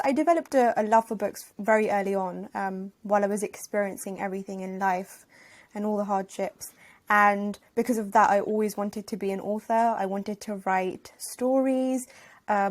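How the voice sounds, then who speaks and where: A young woman, a podcast guest talking openly and reflectively about her life. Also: mid-range voice. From Afghanistan, raised in Northwest London. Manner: reflective, open, with frequent fillers and restarts. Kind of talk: casual interview chat.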